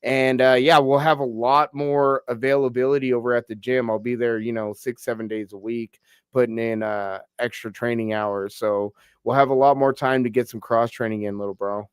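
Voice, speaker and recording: Stuffy-nosed podcast host, smooth dry voice. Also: male voice, medium pitch, fairly even intonation, normal speed, dry recording. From Pacific Northwest, US. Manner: laid-back, lots of fillers and "bro". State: stuffy from a cold. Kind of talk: casual interview chat.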